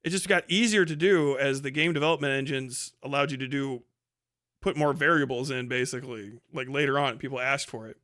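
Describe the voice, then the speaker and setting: Bright, forceful man in blunt livestream commentary. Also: medium pitch, fairly quick. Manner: blunt, profane, slangy. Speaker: livestream host reacting to a video. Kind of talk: casual, rambling livestream commentary.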